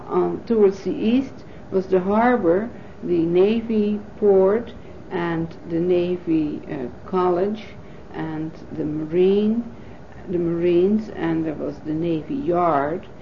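Dutch-born woman in her fifties, low gravelly voice, pausing often, dull lo-fi 1980s tape. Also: a little hiss or hum. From Netherlands. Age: 50s. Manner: thoughtful, pausing with frequent fillers. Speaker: oral history interviewee. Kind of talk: oral history recollection.

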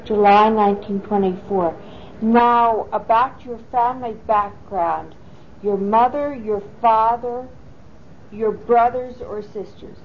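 Slow, measured woman interviewer. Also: fairly high pitch, slight background noise. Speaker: oral history interviewer. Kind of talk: oral history interview questions. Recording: dull lo-fi 1980s tape recording.

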